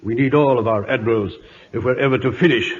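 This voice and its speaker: An actor playing a gruff, blustery older British admiral with a husky voice, in old-time radio drama style. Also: male voice, mid-range voice, fast talker, lightly acted. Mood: gruff, fond. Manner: blustering, emphatic. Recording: muffled old radio broadcast, reverberant.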